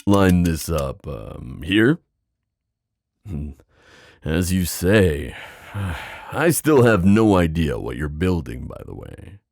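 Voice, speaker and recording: A man playing a playful, scheming god and younger brother in a scripted audio roleplay, with a teasing, theatrical, drawn-out delivery. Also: low-pitched, very animated, darkish tone, no echo, heavily acted. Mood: curious, teasing. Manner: teasing, theatrical, drawn-out phrasing with chuckles and sighs.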